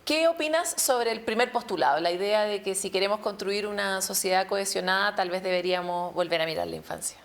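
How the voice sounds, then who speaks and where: A woman hosting a television talk show, speaking in a measured, thoughtful way with pauses. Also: medium pitch, gravelly. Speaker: host.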